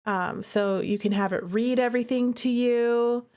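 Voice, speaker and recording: High-voiced, playful woman streamer. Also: unhurried. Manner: playful, animated, chatty, addressing viewers directly. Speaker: streamer and visual novel creator hosting a playthrough. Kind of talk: casual livestream chat and game commentary. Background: music playing behind the voice.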